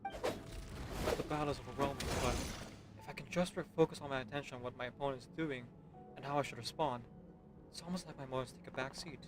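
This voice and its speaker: Game role: male actor as earnest young warrior trainee, soft, reflective, music behind. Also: medium pitch, fairly quick, pauses often, lightly acted. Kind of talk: video game voice acting.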